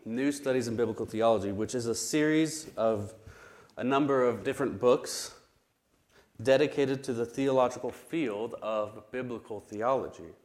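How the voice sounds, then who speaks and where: A man's voice: mid-range voice, darkish tone. Man teaching Bible study, recovering voice. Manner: explanatory, with frequent restarts and pauses. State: recovering voice after illness.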